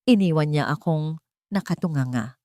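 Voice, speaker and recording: A woman's voice: low voice, normal speed, darkish tone, no room sound, studio quality, lightly acted. A woman voicing a bitter, betrayed man confiding in a narrated story. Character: bitter betrayed man recalling a rival's deceit.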